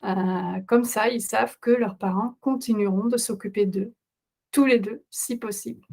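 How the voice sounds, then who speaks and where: A middle-aged woman who is not a native French speaker, presenting a parenting webinar as host with steady explanations and frequent hesitation fillers. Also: medium pitch, moderate pace, creaky.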